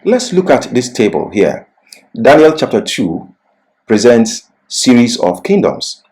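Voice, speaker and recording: A man's voice: medium pitch, very animated, unhurried, a little mellow. A man giving an expository Bible lecture as a teacher, didactic and leaning on key words.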